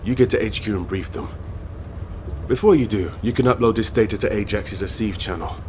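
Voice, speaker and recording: A man playing a gruff, stern military operative in a sci-fi video game, with a deadpan, clipped delivery. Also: lowish voice, brisk, a little hiss or hum, natural voice. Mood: businesslike.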